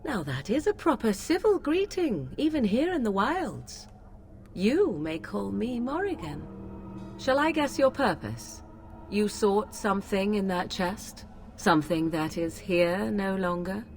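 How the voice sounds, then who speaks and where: A woman's voice: mid-range voice, big pitch swings, unhurried, a little hiss or hum. An actress playing a haughty, mocking young witch in a fantasy video game, with arch, archaic phrasing. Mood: dry, haughty. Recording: music under the voice.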